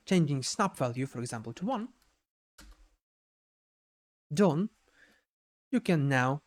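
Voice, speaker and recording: A man giving an unscripted software tutorial in a halting way, repeating words and adding little checking tags as he explains each step. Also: medium pitch. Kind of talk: unscripted screen-share tutorial narration.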